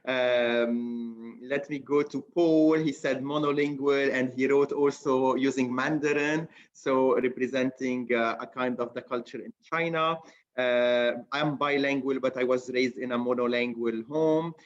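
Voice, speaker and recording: A man's voice: mid-range voice, steady tone, measured pace. Man on dull lo-fi call audio. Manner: casual, hesitant, with fillers. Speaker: co-host. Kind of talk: reading out audience responses.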